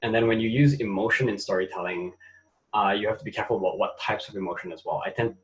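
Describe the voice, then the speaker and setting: Low-voiced man with a Malaysian accent. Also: quick pace, poor audio. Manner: relaxed, thinks aloud with fillers. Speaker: webinar co-host. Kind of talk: casual online webinar talk. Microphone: video call.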